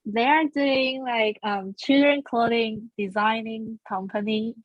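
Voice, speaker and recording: A woman's voice: fairly high pitch, fairly even intonation, slow, no room sound. Earnest woman from Taiwan, dull recording. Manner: earnest, with frequent restarts. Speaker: guest, founder of a language school. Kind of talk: casual interview.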